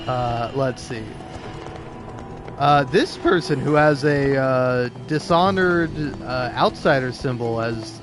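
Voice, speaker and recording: A man talking in a casual, rambling monologue, second-guessing himself as he goes. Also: medium pitch. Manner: rambling, self-questioning. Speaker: video creator.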